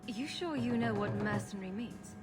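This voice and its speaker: An actress playing a bold adventurer heroine in a video game, with an assured, sardonic delivery. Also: female voice, mid-range voice, normal speed, loud music behind the voice, lightly acted. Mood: wry. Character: bold, dry-witted adventurer heroine, adult woman. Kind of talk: dramatic video game acting.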